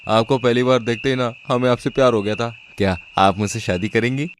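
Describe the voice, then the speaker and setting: A man's voice: medium pitch, steady tone, brisk, a little hiss or hum, natural voice. A man acting a sly, lecherous young groom, smarmy and mocking, in a horror cartoon story. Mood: smarmy, scheming. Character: sly, lecherous young male groom, two-faced villain.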